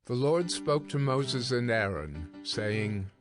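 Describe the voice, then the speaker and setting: A man formally announcing and narrating a scripted audio Bible reading in a deliberate manner. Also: medium pitch, very animated, soft background music.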